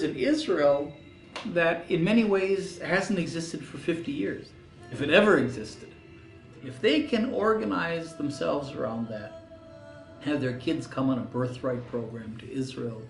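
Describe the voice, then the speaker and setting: A man's voice: fairly high pitch, gravelly. A man giving a critical, emphatic documentary interview, stressing his points. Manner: critical, emphatic, rises sharply when mimicking others. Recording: roomy, distant mic with soft music.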